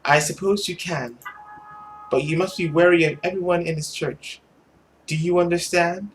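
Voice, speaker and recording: A man's voice: high voice, fairly even intonation, moderate pace, a little hiss or hum, close to an everyday voice. Man playing a controlling, condescending mother. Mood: reproachful. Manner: stern, cooing condescension. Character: controlling, belittling, smothering mother of a girl. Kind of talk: visual novel read-aloud character voice.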